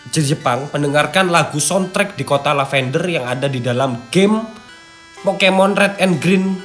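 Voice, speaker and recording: Young East Javanese man, higher-pitched, background music. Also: normal speed, fairly bright tone. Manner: informal, slangy asides. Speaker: host. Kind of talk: casual chat mixed with reading from a script. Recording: music playing behind the voice.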